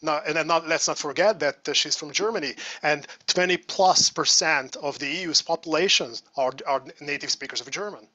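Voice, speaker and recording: A man's voice: a little high, normal speed, few pauses, pushed and bright, dry recording. A man asking a long, earnest question in an online academic seminar over a video call. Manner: earnest, argumentative, piles up figures.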